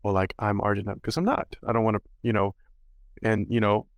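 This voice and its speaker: A man's voice: lowish voice, flat delivery, a little fast, no room sound. A male podcast host chatting casually and rambling, with many fillers. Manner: rambling, full of "you know" and "like". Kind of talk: casual interview chat.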